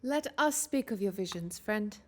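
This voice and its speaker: A woman playing a brisk, reassuring warrior in a fantasy drama table read. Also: medium pitch, lively intonation, close to an everyday voice.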